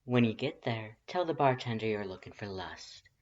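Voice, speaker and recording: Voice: mid-range voice, lively intonation, moderate pace, darkish tone, dull audio, lightly acted. A performer voicing a playful male skeleton who talks in a teasing, flirtatious purr. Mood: teasing, flirty.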